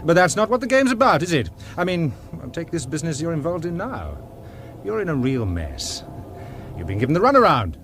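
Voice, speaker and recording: An actor playing a cool, dryly cynical intelligence official with a deadpan, needling manner, in a radio drama. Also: male voice, on the high side, brisk, slight background noise, heavily acted. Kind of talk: British radio drama acting.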